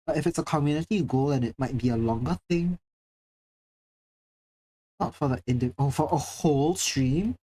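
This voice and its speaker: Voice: mid-range voice, normal speed, pauses often, warm tone. A livestreamer from Singapore chatting casually with viewers, chatty and self-deprecating, swearing now and then. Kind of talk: casual live-stream chat, reading chat.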